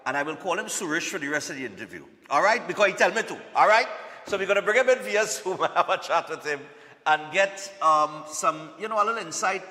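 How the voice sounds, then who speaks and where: A man's voice: high voice, animated, fast, pushed and bright, long reverb tail. A man hosting a live morning TV show, chatty and repetitive, often checking in with "all right?".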